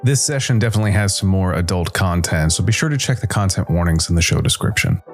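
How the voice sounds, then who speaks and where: A man's voice: deep voice, expressive, quick pace, soft background music. A man narrating scripted noir science fiction as the host of a storytelling show.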